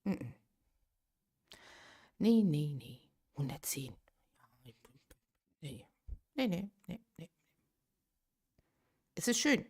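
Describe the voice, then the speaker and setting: A woman's voice: on the low side, very animated, extremely slow, pauses often, a little mellow, breathy edge. A woman in her late thirties chatting casually on a live game stream, thinking aloud and answering viewers' chat. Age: 30s.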